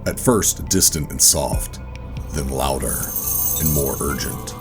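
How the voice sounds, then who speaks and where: A man playing a weary, guarded government operative, telling a sci-fi horror audio drama in a brooding, deliberate way. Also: very deep voice. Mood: ominous, tense. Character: weary, guarded male government operative narrating his own ordeal. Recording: music under the voice. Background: music.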